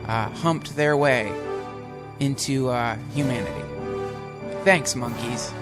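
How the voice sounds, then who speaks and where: A man hosting a casual podcast from a small home setup, rambling and swearing as he introduces and questions his guest. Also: medium pitch. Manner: rambling, profane, playful intros. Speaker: podcast host. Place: home studio.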